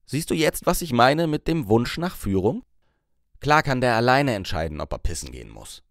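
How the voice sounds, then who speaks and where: A man's voice: mid-range voice, very animated, normal speed, no echo, clean studio sound. A man narrating an audiobook, voicing a blunt, scornful male boss who lectures crudely.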